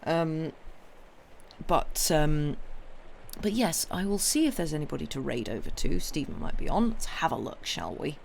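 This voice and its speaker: Croaky young woman chatting. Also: sits low, big pitch swings, darkish tone, a little hiss or hum. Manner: rambling, halting, full of ums and restarts; friendly and apologetic. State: croaky, hoarse voice. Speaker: livestream story reader. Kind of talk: casual chat with viewers.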